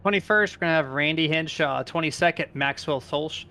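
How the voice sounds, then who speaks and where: A man's voice: on the high side, a little fast, pushed and bright. A man doing live play-by-play commentary on a sim race in a casual, conversational manner.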